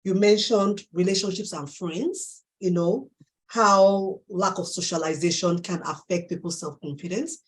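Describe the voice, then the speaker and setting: A woman with a Nigerian accent hosting a live interview, affirming her guest warmly and laughing often. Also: fairly low pitch, measured pace. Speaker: host.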